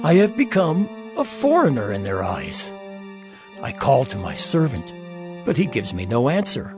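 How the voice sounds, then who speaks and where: An afflicted sufferer pleading against injustice in a scripture drama. His delivery is slow and theatrical, with pitch that rises and falls widely. He sounds anguished and impassioned, over clear background music. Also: huge pitch swings. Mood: anguished lament.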